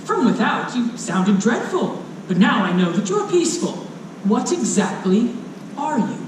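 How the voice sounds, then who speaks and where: A brave, thoughtful little girl played by a storyteller in a children's tale, earnest and polite. The voice is high and lively, caught from afar in a roomy space. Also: measured pace. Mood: curious. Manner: earnest, bright-hearted, polite. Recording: echoey, distant live recording with noise.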